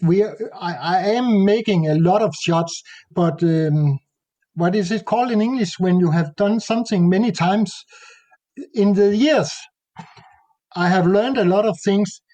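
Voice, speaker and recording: A Danish man speaking English with a Danish accent, talking haltingly in a casual interview and often pausing to search for words. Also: high voice, dark tone. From Denmark. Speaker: nature photographer guest.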